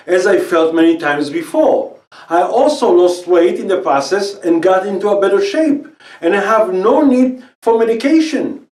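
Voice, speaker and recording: A man in his fifties from Israel, a native Hebrew speaker, giving an earnest scripted pitch about quitting smoking in short phrases. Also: a little mellow, echoey room, distant mic. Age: 50s. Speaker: natural healing expert and quit-smoking coach.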